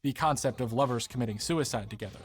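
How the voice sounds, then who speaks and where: A man's voice: mid-range voice, fast talker. A man delivering scripted documentary narration as the narrator of a video essay.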